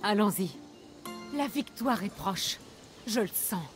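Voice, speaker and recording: Une actrice de doublage jouant une jeune cheffe rebelle audacieuse et passionnée dans un jeu vidéo. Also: female voice, medium pitch, expressive, takes it slowly, loud music behind the voice. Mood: fervent. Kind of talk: dubbed video game acting.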